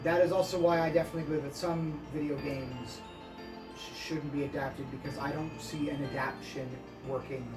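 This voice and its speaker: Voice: medium pitch, fairly even intonation, fairly quick. Podcast host going off on opinions, kinda rambly, roomy far mic, music playing. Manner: opinionated, rambling, emphatic, swears casually. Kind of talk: casual discussion. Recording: roomy echo, distant mic, music behind.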